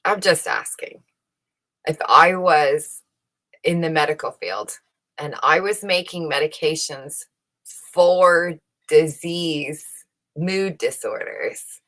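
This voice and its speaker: Low-voiced, slow-talking woman giving blunt commentary. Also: pushed and bright. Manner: opinionated, emphatic, rhetorical questioning. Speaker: host reading and commenting on research papers. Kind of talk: casual commentary on read-out research.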